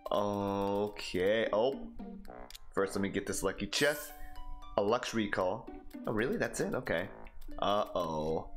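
A man in his twenties hosting a let's play, with energetic, goofy gameplay commentary. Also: lowish voice. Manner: energetic, goofy, quick reactions. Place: small home room. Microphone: studio mic on a boom stand. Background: game music.

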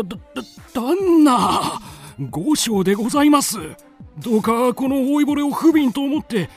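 A man's voice: extremely high pitch, exaggerated voice. A man playing a frightened, meek elderly servant in a radio drama, stammering and imploring. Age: older.

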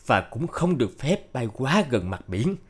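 A man playing an earnest middle-aged craftsman father in a cartoon, speaking in a measured, careful way. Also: medium pitch, sing-song, slightly rough. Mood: cautioning. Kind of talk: cartoon dub acting.

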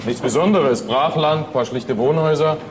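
An actor playing a sceptical, matter-of-fact junior detective, reporting in a wry way in a crime radio drama. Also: male voice, a little high, moderate pace, noisy background, lightly acted.

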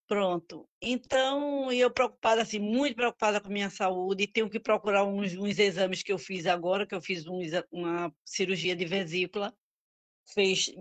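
A middle-aged woman on an audio-only video call at home, talking in a halting, worried, rambling way. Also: medium pitch. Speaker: group participant. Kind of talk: casual chat on a video call.

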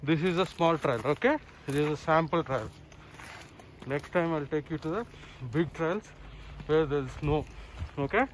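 A man's voice: fairly high pitch, measured pace, pauses often. A man with an Indian accent, a native Telugu speaker, chatting casually as a father filming a family vlog, in short repeated phrases and murmured hums. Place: outdoors on a forest trail. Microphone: handheld camera, held by the speaker. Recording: noisy outdoor handheld camera audio.

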